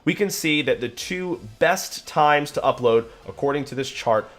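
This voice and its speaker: A man presenting a software tutorial, upbeat and chatty, his voice a little nasal from being sick. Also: a little high, normal speed. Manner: upbeat, chatty, casual fillers. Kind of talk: screen-recorded software tutorial.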